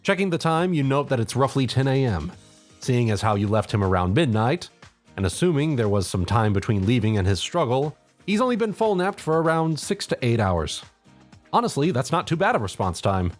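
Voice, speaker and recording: A man's voice: mid-range voice, big pitch swings, brisk. A man narrating a fan fiction story in a dramatic second-person storytelling style. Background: background music.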